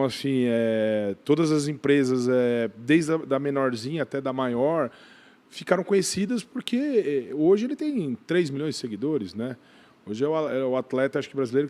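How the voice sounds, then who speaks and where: Brazilian man, halting conversational delivery. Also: mid-range voice, darkish tone. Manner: halting, repeats words, frequent tag questions. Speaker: podcast guest, gym brand owner. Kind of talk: casual podcast interview.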